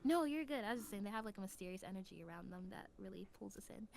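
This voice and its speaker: A young adult woman chatting casually as a podcast guest, telling stories in an animated, slangy way. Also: medium pitch, very fast, crowd noise. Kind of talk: casual chat. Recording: clear background noise.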